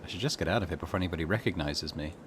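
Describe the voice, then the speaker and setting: A man's voice: deep voice, rapid-fire, noisy background. A man hosting a gaming channel, reading game text aloud and adding musing commentary, with faint game ambience behind him.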